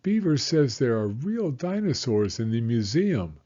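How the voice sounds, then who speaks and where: A man's voice: mid-range voice, sing-song, measured pace, dark tone, highs cut off. A man voicing a timid, curious young boy turtle with a hesitant, anxious manner in a storybook. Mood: worried.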